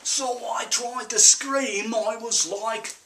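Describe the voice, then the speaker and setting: A man playing an excitable young farm lad telling a panicked tale in a put-on mix of Australian, Irish and English accents, with theatrical, drawn-out emphasis. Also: very high voice, vocal fry, pitched above the performer's own voice, exaggerated voice. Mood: scared, amazed. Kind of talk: theatrical comic audition monologue. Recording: roomy camcorder sound, distant from mic.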